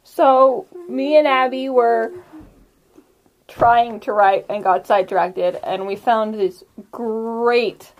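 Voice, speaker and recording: A woman's voice: fairly high pitch, slow pace, low-quality recording. A young woman chatting casually as a player on a tabletop game podcast.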